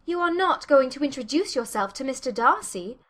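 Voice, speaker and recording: Lady doing a spirited young gentlewoman, highish voice, candid and politely challenging, classic novel drama. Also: animated, fairly quick. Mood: firm, sceptical.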